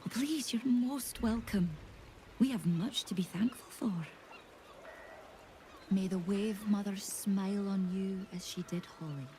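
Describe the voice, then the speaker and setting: A woman playing a devout, grieving temple acolyte in a fantasy game, with a soft, reverent manner. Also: mid-range voice, measured pace, slight background noise, natural voice. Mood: polite welcome. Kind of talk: fantasy video game acting.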